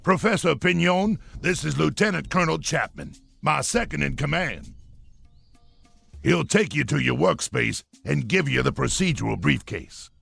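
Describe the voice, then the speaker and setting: An actor playing a blustering, bossy old army colonel with a gravelly voice. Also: male voice, on the high side, expressive, normal speed, fairly bright tone, soft background music, heavily acted. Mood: gruff. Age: older. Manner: gruff, boastful and commanding.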